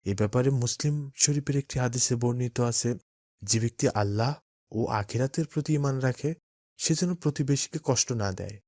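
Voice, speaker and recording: An actor voicing a kind, patient father in a cartoon, speaking calmly and instructively. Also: mid-range voice, normal speed, no echo, studio quality, natural voice. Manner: calm, gently instructive. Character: kind patient father, middle-aged man.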